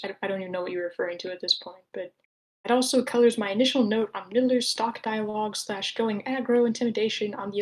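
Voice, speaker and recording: A woman's voice: fairly high pitch, low-quality recording. A woman hosting an online script meeting, talking informally with a wry, rambling manner and sometimes reading out written comments. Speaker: host moderating an online script table read. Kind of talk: casual meeting moderation, reading out chat comments. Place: online live meeting room.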